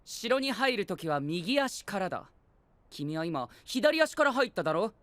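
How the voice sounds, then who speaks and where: A male actor playing a pompous, rule-obsessed young gentleman knight in an anime audio drama, speaking formally and peppering his lines with English exclamations. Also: sits high, big pitch swings, unhurried, no room sound, heavily acted. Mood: commanding, scolding. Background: background music.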